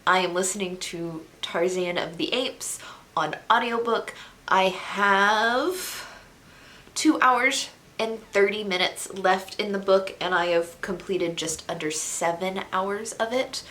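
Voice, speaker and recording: A young woman vlogging about books in a relaxed, chatty way, her voice stuffy and congested. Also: mid-range voice. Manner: chatty, unhurried. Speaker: book vlogger. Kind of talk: casual vlog chat. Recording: echoey room.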